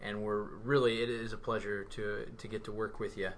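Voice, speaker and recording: A man's voice: on the low side, level tone, moderate pace, slight background noise. A man hosting a podcast interview, friendly and affirming, often laughing along.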